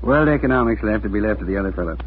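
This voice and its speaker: A man's voice: lowish voice, expressive, very fast, close to an everyday voice. An actor playing a good-natured middle-aged family father in a 1940s radio drama, homely and reassuring. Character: good-natured, steady family father running a service station. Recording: tinny, noisy 1940s radio recording.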